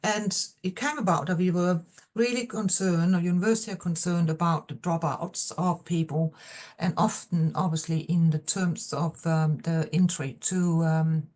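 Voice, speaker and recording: A woman's voice: lowish voice, fairly even intonation, a little mellow. Hesitant Danish-accented woman presenting. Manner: hesitant, with many pauses and fillers. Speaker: professor presenting research. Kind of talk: seminar presentation. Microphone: video call.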